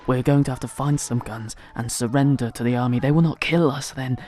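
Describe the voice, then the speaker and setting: Desperate man pleading, often hushed acted out. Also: medium pitch, steady tone, a little fast, a little hiss or hum, close to an everyday voice. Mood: urgent, hushed. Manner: urgent, pleading. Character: desperate Sikh uncle, protective and anguished in captivity. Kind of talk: audio drama acting.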